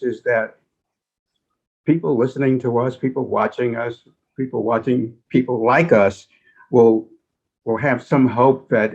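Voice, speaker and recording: A man's voice: mid-range voice, a little mellow. An older man, a veteran journalist on a panel, talking reflectively in an earnest, folksy way. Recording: slightly dull, lo-fi audio.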